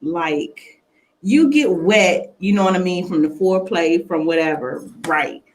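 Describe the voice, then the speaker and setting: A woman's voice: lowish voice, a little slow. A woman hosting a casual, bawdy radio chat in a frank, playful and slangy manner. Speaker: talk show host.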